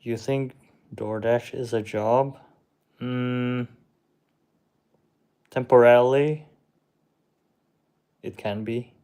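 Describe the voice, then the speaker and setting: A young man whose first language is Czech, hosting a casual livestream and answering chat in a slow, hesitant, shrugging way. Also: mid-range voice, steady tone, dark tone, smooth. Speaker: livestream host reading chat.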